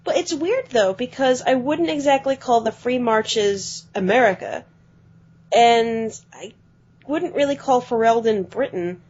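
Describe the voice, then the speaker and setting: A woman co-hosting a podcast, chatting quickly about video games and often letting her phrases trail off. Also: fairly high pitch, darkish tone. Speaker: podcast co-host. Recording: dull lo-fi podcast audio.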